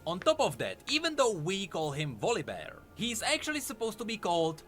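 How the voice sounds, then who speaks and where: A man's voice: high voice, huge pitch swings, brisk, a bit bright. A man narrating a scripted lore video. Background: faint music.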